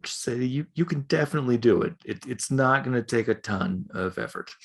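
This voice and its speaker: A man's voice: mid-range voice, expressive, brisk, creaky. A man co-hosting a podcast in casual comic banter, interjecting with skeptical riffs. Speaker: podcast co-host.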